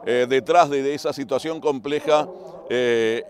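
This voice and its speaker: A man with a Uruguayan accent interviewing a guest, asking measured questions that end in tag questions. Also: mid-range voice. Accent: Uruguayan Spanish.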